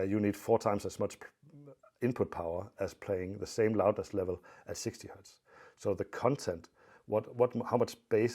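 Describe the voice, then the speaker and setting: Male expert explainer: low-pitched, dark and relaxed tone, measured, informal, matter-of-fact delivery. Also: slightly rough. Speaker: audio expert presenter.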